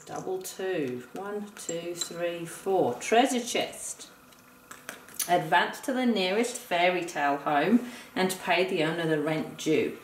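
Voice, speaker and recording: A woman's voice: lowish voice, expressive, slow pace. A woman talking a partner through a board game like a teacher, explaining step by step and counting aloud. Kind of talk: instructional game play-through talk, addressing a partner. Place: indoor room, game on a table. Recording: roomy, distant mic with noise.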